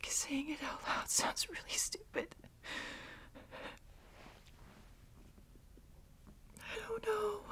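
A woman playing a tearful, insecure girlfriend in an ASMR roleplay, crying and speaking in halting, breathy, self-deprecating phrases. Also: sits high, a bit bright. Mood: upset, evasive. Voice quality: breathy, whispery. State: crying, sniffly. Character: tearful, insecure young girlfriend seeking comfort.

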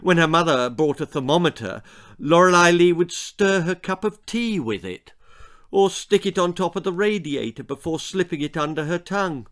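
British man in his sixties, dramatic, higher-pitched storyteller. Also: animated, moderate pace, fairly bright tone. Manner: dramatic, relishing grotesque detail. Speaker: author reading his own story. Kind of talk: theatrical children's storytelling. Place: small book-lined room indoors.

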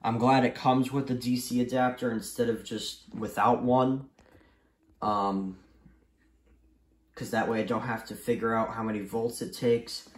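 A man's voice: medium pitch, fairly even intonation, unhurried. A young American man talking casually and off the cuff, rambling hesitantly and repeating himself as he shows things to the camera. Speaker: hobbyist video maker. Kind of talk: casual unscripted show-and-tell. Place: small indoor room at home. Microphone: phone camera held by speaker. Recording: echoey room, handheld camera.

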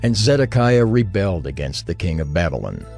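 A man narrating a dramatized scripture reading in a measured, formal manner. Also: sits low, big pitch swings, creaky, a little mellow. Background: music under the voice.

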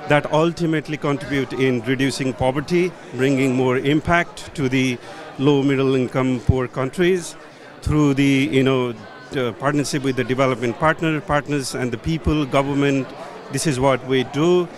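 A man's voice: mid-range voice, fairly even intonation, moderate pace. Earnest middle-aged man with Nepali accent. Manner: earnest, searching for words. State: a little tired from travel. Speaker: conference guest, policy professional. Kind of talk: conference interview. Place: conference hall booth, indoors. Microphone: handheld mic held by interviewer.

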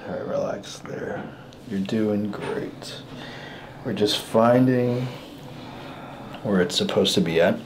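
A man's voice: lowish voice, slow, long pauses. A man working as a chiropractor, talking casually and reassuringly to his patient as he treats them. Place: indoor treatment room. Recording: noisy room with ambient sound.

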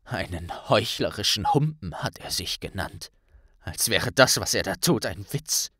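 A man's voice: fairly low pitch, animated, unhurried, background noise. A man voicing an injured young wizard, bitter, impatient and intense, in a fantasy audiobook. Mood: angry.

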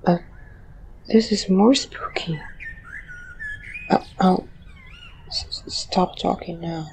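Woman as a creaky, halting, timid ghost. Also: fairly low pitch, lively intonation, a little mellow, a little hiss or hum, poor audio. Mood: nervous. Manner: halting, stammering, trailing off. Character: timid, gloomy, hesitant young ghost. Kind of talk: fan audio drama acting.

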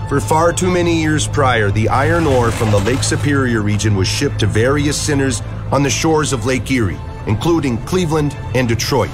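A man's voice: medium pitch, moderate pace, over music, close to an everyday voice. A man playing a grave working man in a scripted documentary monologue.

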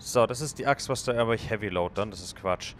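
A man's voice: fairly low pitch, fast talker, slight background noise. A man casually commenting on his game as he plays, thinking aloud and chatting with viewers. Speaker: let's play gamer.

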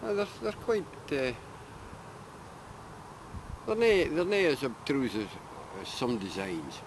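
An older man with a Scottish accent, a local farmer chatting casually outdoors, plain-spoken and trailing off quietly. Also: mid-range voice, huge pitch swings, slow, poor audio. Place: outdoors on a farm road at dusk. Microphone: handheld camera mic. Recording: outdoor camcorder audio with background noise.

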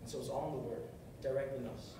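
A man's voice: medium pitch, steady tone, quick pace. A young man giving a short, earnest sermon from a stage, repeating phrases for emphasis, recorded from across a large hall. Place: large carpeted hall, on a stage at a music stand. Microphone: far camera across the room. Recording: echoey hall from far off, with noise.

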